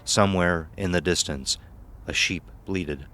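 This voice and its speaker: Very deep-voiced male story narrator. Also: measured pace, sharp tone. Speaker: audio horror narrator.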